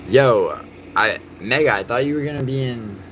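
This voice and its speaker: A man from New York City streaming live, chatting casually and cursing in excitable outbursts between mumbled fillers. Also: mid-range voice, normal speed, slight background noise, poor audio. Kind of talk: casual live stream chat, reacting to soccer and reading chat.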